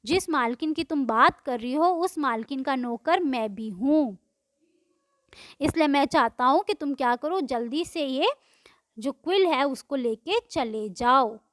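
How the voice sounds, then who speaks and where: A woman teacher explaining a poem line by line, reading English lines slowly and then explaining them in Hindi, asking and answering her own questions. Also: high-pitched, lively intonation, no echo, studio quality.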